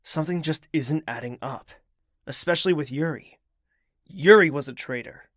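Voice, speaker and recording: An actor playing a weary, suspicious male royal in an audio drama, speaking and narrating in a measured, brooding way. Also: a little high, fairly bright tone, no echo, studio quality, close to an everyday voice. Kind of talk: audio drama acting and first-person narration.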